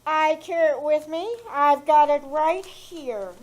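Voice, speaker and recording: A woman playing a stubborn, sassy housemaid in a live reenactment of an old-time radio comedy, with a deadpan delivery. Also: high-pitched, unhurried. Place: small theater stage.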